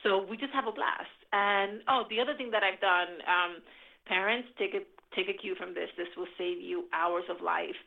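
A middle-aged woman from the Dominican Republic, speaking. She tells stories in an animated, enthusiastic way at a medium pitch. Her voice comes through a thin, tinny phone line. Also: normal speed, vocal fry. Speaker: podcast guest. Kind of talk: casual interview chat.

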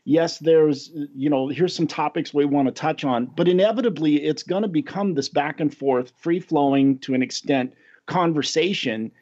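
A man's voice: a little high, moderate pace, a little mellow. A middle-aged American man hosting a casual podcast chat, rambling and chuckling, a little self-deprecating. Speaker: podcast host. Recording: dull lo-fi audio.

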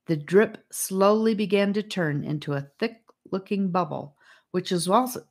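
A woman reading a children's fantasy adventure as an audiobook narrator. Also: lowish voice.

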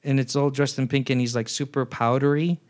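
A man's voice: mid-range voice, level tone, brisk, a little mellow. A man co-hosting a podcast, chatting casually and rambling with lots of fillers and restarts. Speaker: podcast co-host. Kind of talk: casual chat.

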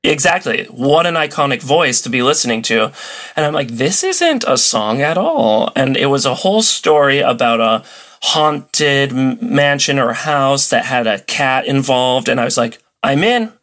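A man's voice: mid-range voice, lively intonation, measured pace, a bit bright. A man chatting casually as a podcast guest, telling stories in an enthusiastic, playfully dramatic way. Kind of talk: casual chat, storytelling.

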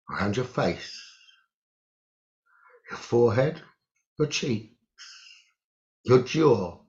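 A man leading a guided meditation, giving gentle instructions in short phrases with long pauses, on a muffled recording. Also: mid-range voice. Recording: muffled, dull lo-fi audio.

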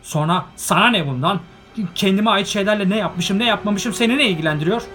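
A man voicing an elderly male hobbit who is possessive and touchy, with shrill outbursts and covetous whispers. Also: animated, brisk, over music, close to an everyday voice. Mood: indignant.

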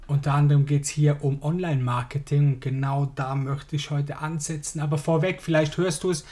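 A hoarse man with a Swiss German accent, hosting a solo podcast and chatting informally, straight to the listener. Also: on the high side, fairly even intonation, normal speed, mellow. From Switzerland. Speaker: podcast host. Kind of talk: casual solo podcast monologue.

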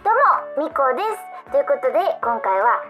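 An actress playing a bright, wholesome young female video creator, presenting cheerfully and neatly in a radio drama. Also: sits high, big pitch swings, fast, lo-fi sound. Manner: cheerful, neat presenter delivery. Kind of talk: scripted online video introduction in radio drama. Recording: music behind the voice.

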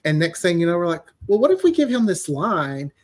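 A man's voice: sits high, very animated, fairly quick. A man chatting casually as a podcast guest and writer, telling stories and acting out quoted lines as he goes. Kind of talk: casual interview chat.

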